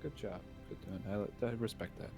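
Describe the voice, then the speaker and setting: A man's voice: on the low side, normal speed, gravelly, over music. A man chatting casually and improvising as a player in a tabletop game. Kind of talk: casual improvised roleplay chat.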